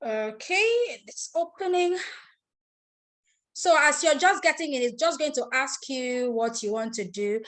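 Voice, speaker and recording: A Nigerian woman with a somewhat high voice leads a live online tutorial over a computer mic. She talks through each step in turn, repeats key points and breaks off to ask attendees questions. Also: a little slow, fairly bright tone. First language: Igbo. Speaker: online class instructor. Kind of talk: live screen-share tutorial.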